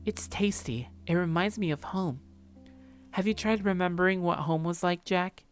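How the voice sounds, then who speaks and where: A man's voice: high-pitched, moderate pace, soft background music, pitched above the performer's own voice, natural voice. A man voicing a composed young woman who needles politely in a visual novel. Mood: pointed.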